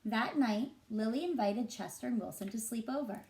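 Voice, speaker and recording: A woman reading a picture book aloud to children as a teacher, in an expressive, deliberate and engaging way. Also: a little high, creaky. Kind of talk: expressive storybook narration for children.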